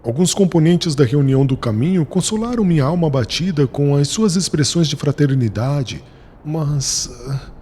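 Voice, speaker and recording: An anguished young man pouring out his troubles, as a man voices him. He pleads earnestly with a swinging, expressive mid-range voice, wrapped in a long hall reverb. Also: mid-range voice, a little hiss or hum, natural voice. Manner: pleading, emotional. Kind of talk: audiobook character reading.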